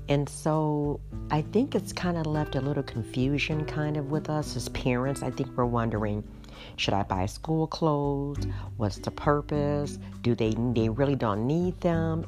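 A middle-aged woman hosting a podcast, hoarse tonight, chatting in an unhurried way with frequent ums. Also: a bass voice. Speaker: podcast host. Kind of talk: casual solo podcast talk. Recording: music playing underneath.

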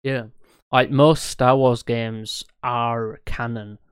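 A man's voice: mid-range voice, level tone, slow, smooth, no room sound, studio quality. A man co-hosting a podcast in casual gaming chat, hesitant and often losing his train of thought. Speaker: podcast co-host.

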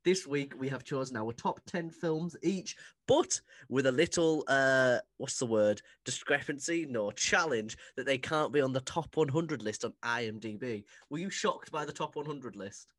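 A man hosting a casual podcast chat, joking and teasing with quick rambling asides. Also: medium pitch, a bit bright. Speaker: podcast host.

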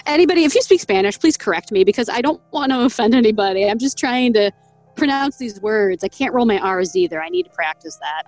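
A woman co-hosting a casual podcast, reading a folk legend from an article. Her voice sits a little high and she laughs often, stumbling over names. The recording is dry and close, with faint music underneath. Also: very animated, fast, darkish tone, no echo, poor audio. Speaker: podcast co-host.

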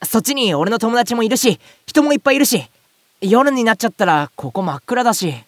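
A man acting an ordinary, excitable young big-brother type in a Japanese audio drama, chatty and comically flustered, with first-person narration. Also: very high voice, fairly quick, far from the mic. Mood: wry.

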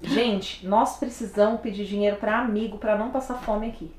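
A woman from Brazil, raised in Paraná, telling her story as a guest in a casual interview, animated and slangy. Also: medium pitch, moderate pace, roomy sound, slight background noise. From Brazil, São Paulo state, raised in Paraná.

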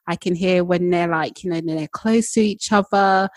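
A woman's voice: lowish voice, moderate pace, no echo, clean studio sound. A woman co-hosting a podcast, chatting casually with many fillers. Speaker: podcast co-host. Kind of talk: casual chat.

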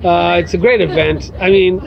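High gravelly-voiced man, reflective. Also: fairly even intonation, moderate pace, crowd noise. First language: English. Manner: reflective, many fillers like "you know" and "uh". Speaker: guest writer. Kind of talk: casual interview answers. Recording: muffled with faint background noise.

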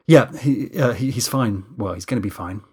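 A man's voice: lowish voice, moderate pace, a little mellow, husky. A man voicing a flustered, anxious man running a film shoot in an audiobook, hesitant, stammering and defensive.

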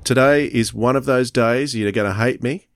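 A man's voice: mid-range voice, normal speed, dry recording, clean studio sound. A man co-hosting a podcast, chatting casually. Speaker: podcast co-host.

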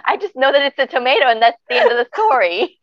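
A woman's voice: high voice, huge pitch swings, a little fast, no echo. Reflective woman, dry lo-fi sound. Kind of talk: casual chat. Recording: dry, dull lo-fi audio.

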